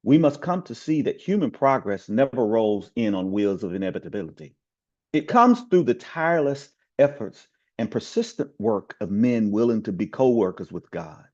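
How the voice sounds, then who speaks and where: A man from the community reciting a written speech with oratorical emphasis, drawn-out words and falling phrase endings, in a dull, slightly lo-fi recording. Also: mid-range voice, sing-song, a little mellow. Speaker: community member reading aloud.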